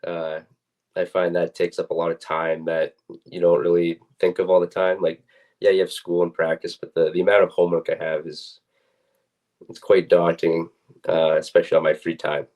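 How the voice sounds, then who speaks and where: A man's voice: sits low, completely flat delivery, moderate pace, a little mellow. A young man, a student athlete, giving casual, plain-spoken and somewhat hesitant interview answers. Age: young adult.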